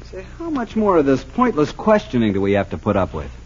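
An actor playing a defiant, menacing murderous son in a 1940s-style radio drama, impatient and argumentative. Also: male voice, mid-range voice, wildly swooping pitch, a little fast, breathy edge. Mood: defensive, impatient. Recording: muffled old radio recording.

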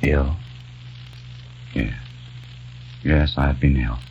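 An actor playing a grieving young man who narrates and speaks in a grave, hushed, sorrowful way in a 1940s radio drama. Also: a bass voice, steady tone, drawn-out words, gravelly, a little hiss or hum, exaggerated voice. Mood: quiet, grave. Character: grieving young man haunted by lost love. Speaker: narrator and lead. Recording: dull lo-fi old radio recording with faint music.

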